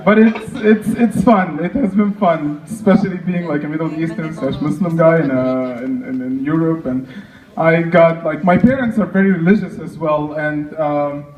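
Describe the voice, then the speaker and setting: A young man doing conversational stand-up comedy, halting and self-deprecating, acting out short exchanges. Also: a little high, darkish tone, far from the mic. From Egypt. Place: live stand-up show in an echoey room. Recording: dull, echoey live room recording.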